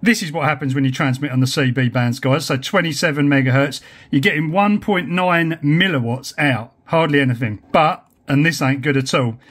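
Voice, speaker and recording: A man's voice: a little high, normal speed, fairly bright tone. A man, explaining tech in a casual, chatty vlog style. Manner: informal, chatty, hands-on explaining. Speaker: amateur radio YouTuber.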